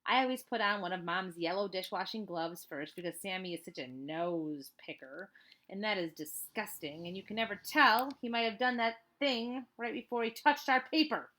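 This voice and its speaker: Bright-voiced woman reading a children's book. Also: mid-range voice, normal speed. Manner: expressive, chatty, emphatic on list items. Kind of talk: read-aloud storytelling with casual asides.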